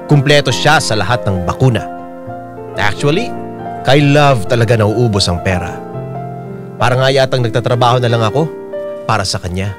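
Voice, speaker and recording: A man's voice: mid-range voice, normal speed, long pauses. A man narrating a listener's letter as a radio drama host, in a dramatic scripted style. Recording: voice over piano music bed.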